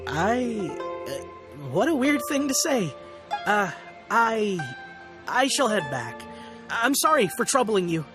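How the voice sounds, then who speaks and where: Game bit part, nervous villager: slow, halting, with swinging pitch, polite. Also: female voice, sing-song. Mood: uneasy. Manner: halting, hesitant. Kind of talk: video game character dialogue. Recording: music playing behind the voice. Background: game music.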